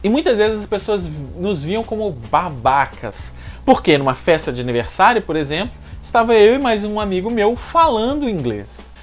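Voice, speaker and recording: A man from Minas Gerais with a Mineiro accent, speaking straight to the viewer as he gives energetic advice in a vlog. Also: sits high, normal speed. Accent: Mineiro Brazilian Portuguese. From Minas Gerais, Brazil. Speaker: YouTuber giving language-learning tips. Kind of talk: direct-to-camera advice vlog.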